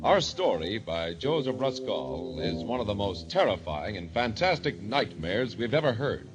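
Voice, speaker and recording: A man announcing an old-time radio mystery show in a dramatic, ominous, deliberate manner. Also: fairly low pitch, sing-song, over music.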